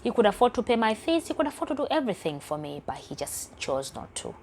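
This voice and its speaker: A woman's voice: fairly high pitch, big pitch swings, fast, darkish tone, a little hiss or hum. A young woman from Uganda telling her own life story candidly in a personal vlog, often dropping to a near whisper. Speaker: vlogger.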